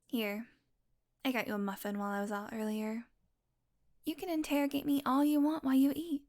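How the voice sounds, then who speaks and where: A woman's voice: sits high, level tone, a little fast, darkish tone. A woman playing a sardonic, flirtatious, possessive female vampire in an intimate audio roleplay, talking teasingly to the listener and sometimes dropping into a murmur. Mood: teasing, wry. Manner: sardonic, teasing, casually swearing, slipping into breathy murmurs.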